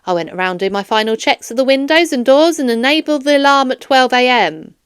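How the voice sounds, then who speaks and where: Woman doing a flustered night guard guy pleading his case, high voice that jumps around, audiobook style. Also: animated, brisk, clear, resonant tone, dry recording, clean studio sound. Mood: nervous. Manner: pleading, protesting, emphatic. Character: flustered, defensive male night security guard suspect. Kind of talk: children's audiobook character dialogue.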